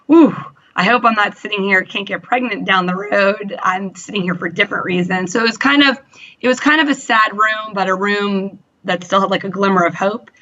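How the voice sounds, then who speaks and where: A woman in her thirties chats through her own story with a bright, forceful tone, full of fillers and little quoted asides. The recording sounds dull and lo-fi. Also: medium pitch. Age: 30s. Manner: chatty, many fillers and quoted self-talk. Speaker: interview guest. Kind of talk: candid personal story.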